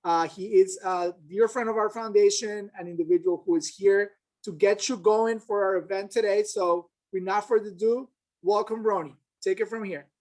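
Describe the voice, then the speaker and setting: A woman with a medium-pitched voice serves as the event host. She gives instructions and introductions informally, with halting pauses as she goes. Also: fairly even intonation, a little mellow, rough recording. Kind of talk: announcements and guest introduction.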